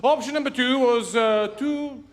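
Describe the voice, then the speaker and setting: Joking pastor, high voice. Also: male voice, a little slow. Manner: jokey and self-mocking, laughs at his own stories. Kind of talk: humorous sermon storytelling. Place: church sanctuary.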